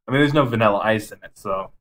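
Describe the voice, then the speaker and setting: Man, medium pitch, podcast co-host, casual chat, enthusiastic, rambling, mildly sweary. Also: fairly bright tone. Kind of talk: casual chat, ranking favorite game movies.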